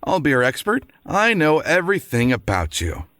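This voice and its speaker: Eager, animated contestant. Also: male voice, on the high side, moderate pace, no echo, studio quality. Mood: confident. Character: eager, devoted boyfriend contestant, confident. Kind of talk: audio comedy acting.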